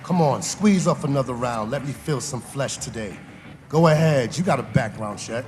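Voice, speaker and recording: A bloodthirsty, sardonic talking bullet played by a man goads in an audio drama. The mid-pitched voice rings out in long reverb. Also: expressive, normal speed, darkish tone, close to an everyday voice. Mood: goading.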